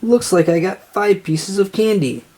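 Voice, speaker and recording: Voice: medium pitch, animated, unhurried, dark tone, slightly rough, voice pushed up. A storybook reader voicing a bossy, exasperated and scornful young girl who speaks emphatically. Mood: embarrassed.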